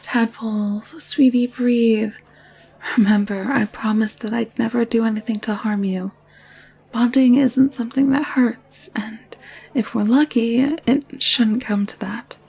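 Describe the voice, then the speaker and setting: A woman playing a gentle, protective alien caretaker in an intimate audio roleplay, speaking softly and soothingly. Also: on the high side, fairly even intonation, a little slow, creaky, breathy edge, full character voice, rough recording.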